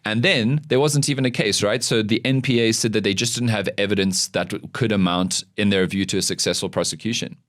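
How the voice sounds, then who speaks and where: A man's voice: fairly low pitch, a little fast, hardly any pauses, a bit bright, dry recording, studio quality. A man, hosting an interview in an earnest, emphatic way and repeating words for stress. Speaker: podcast host.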